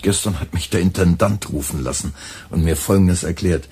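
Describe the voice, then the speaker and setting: Young male radio editor, low, very raspy, cool, laconic, radio play. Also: moderate pace, mellow. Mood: cool, matter-of-fact.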